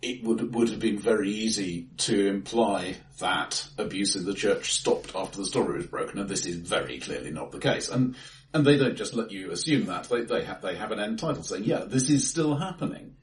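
A male podcast co-host with a lowish voice discusses films casually. He is measured and halting, often restarting on the same word. He sits away from the microphone in a reverberant room. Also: lively intonation. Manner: halting, repeats opening words, measured. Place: bright-sounding room, face to face. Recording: echoey room, distant from mic.

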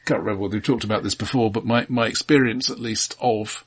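Husky-edged man presenting film countdown. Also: fairly low pitch, fairly even intonation, fast. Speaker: film discussion podcast co-host. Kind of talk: casual chat, presenting a countdown.

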